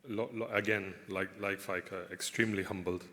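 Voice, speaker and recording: A man's voice: deep voice, steady tone, moderate pace, bright, forceful tone, in a large hall. A man giving panel remarks, stumbling and repeating words.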